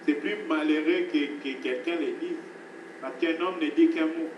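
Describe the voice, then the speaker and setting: A man preaching with insistent repetition, his fairly high voice heard from across an echoing room. The recording is noisy and distant, with the space ringing around him. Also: moderate pace. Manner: emphatic preaching, repeats phrases, addresses listeners as beloved. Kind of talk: sermon.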